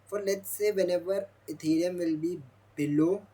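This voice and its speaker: A young man, a Hindi speaker, walking through a demo of his own software while thinking aloud. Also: sits high, steady tone, moderate pace. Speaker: software developer demoing his app. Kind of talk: screen-recorded product demo.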